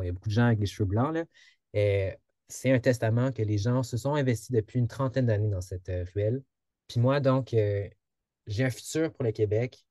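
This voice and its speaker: A man's voice: on the low side, dark tone, dry recording, studio quality. A man in his thirties with a Québécois accent, giving an informal presentation with many fillers and restarts. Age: 30s. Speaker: project presenter. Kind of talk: informal presentation in an online info session. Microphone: video call.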